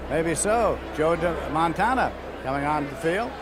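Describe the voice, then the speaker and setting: A man's voice: fairly high pitch, animated, unhurried, noisy background. A man doing play-by-play football commentary in the style of a 1980 television broadcast. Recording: archive game broadcast, music underneath. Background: music.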